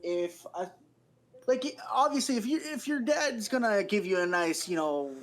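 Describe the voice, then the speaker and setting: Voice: mid-range voice, lively intonation, moderate pace. Speaker from western Canada, mid-range voice, casual conversation, anecdotal. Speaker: talk show guest, former school youth worker.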